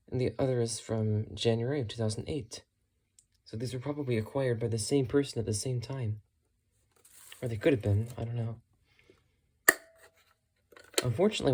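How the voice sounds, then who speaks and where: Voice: medium pitch, dark tone, breathy edge. A hobbyist vlogger giving a casual, unscripted show-and-tell in a matter-of-fact way, musing tentatively while talking. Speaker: hobbyist vlogger showing thrift-store radio finds.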